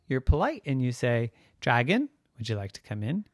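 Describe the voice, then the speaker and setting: A storyteller voicing a calm, matter-of-fact young boy who talks in a shrugging, playful way. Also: medium pitch, normal speed, dry recording, clean studio sound, close to an everyday voice. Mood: offhand.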